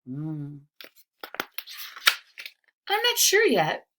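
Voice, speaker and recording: A woman's voice: sits low, huge pitch swings, extremely slow, noisy background, voice pushed down, full character voice. A woman voicing an unsure, eager little boy who wonders and questions in a storybook read-aloud. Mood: unsure, hopeful.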